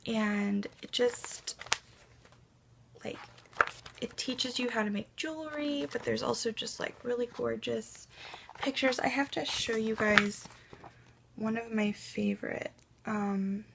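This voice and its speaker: A young woman talks casually in her bedroom, her voice gravelly and a little froggy from a cold. She sits a touch high for a woman and fills her chat with ums and likes, sometimes dropping to a soft aside. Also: slow pace, long pauses, heavy background noise. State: slightly hoarse from a cold. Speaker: beauty vlogger. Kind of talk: casual haul chat to camera. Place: bedroom at home.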